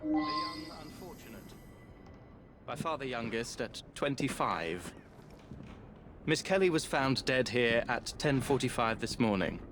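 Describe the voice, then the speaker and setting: An actor playing a grave, protective Victorian police inspector in a video game, with a British accent and measured speech. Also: male voice, medium pitch, very animated, music in the background. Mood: sombre. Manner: sombre, measured. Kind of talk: video game cutscene acting.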